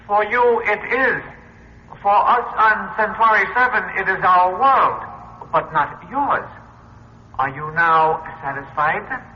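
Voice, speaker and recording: Cold, high-voiced alien overseer. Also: male voice, heavily acted. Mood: coldly commanding. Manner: formal, measured, imperious. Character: cold, commanding alien overseer from Centauri Seven. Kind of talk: radio drama acting. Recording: muffled, husky-edged old radio recording.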